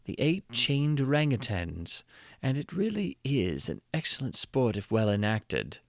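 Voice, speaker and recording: A man voicing a cunning, calm court dwarf jester who speaks in a quiet, smooth, scheming way. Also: medium pitch, unhurried, no room sound, studio quality. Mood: persuasive.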